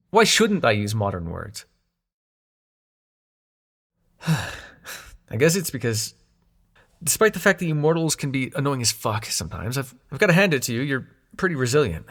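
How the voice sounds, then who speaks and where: A man's voice: mid-range voice, fairly bright tone. A man acting in an intimate audio roleplay as a young god of dreams, sarcastic and wounded but fond, talking in casual, sweary modern slang. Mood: teasing, fond.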